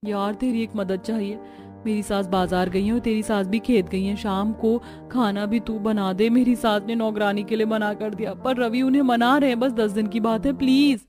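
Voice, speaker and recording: Woman acting an insistent young daughter-in-law, medium pitch. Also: fairly even intonation, normal speed, music in the background, lightly acted. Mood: pleading. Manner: pleading, insistent. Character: modern, anxious young daughter-in-law seeking help. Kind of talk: Hindi cartoon story dialogue.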